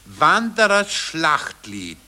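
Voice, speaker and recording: A vain Viennese court councillor in an old radio play. His voice is fairly high and sharp, swinging with excitable, chatty eagerness as he shows off as an amateur poet. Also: very slow, full character voice. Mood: eager, self-important. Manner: self-satisfied, excitable, chatty. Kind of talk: 1940s German radio play acting.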